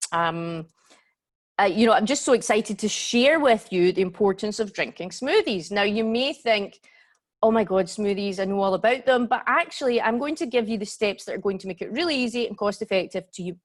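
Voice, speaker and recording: A woman in her forties with a Glaswegian accent, hosting a live online yoga workshop in a chatty way that keeps checking in with her listeners. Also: medium pitch. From Scotland. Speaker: yoga teacher and workshop host. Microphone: computer mic on a video call.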